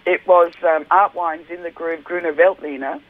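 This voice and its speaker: A woman with a husky voice, a wine judge talking on the radio as a guest, enthusiastic and rambling with lots of fillers. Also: fairly low pitch, steady tone, normal speed. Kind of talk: casual radio phone interview. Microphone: telephone. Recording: narrow tinny phone line.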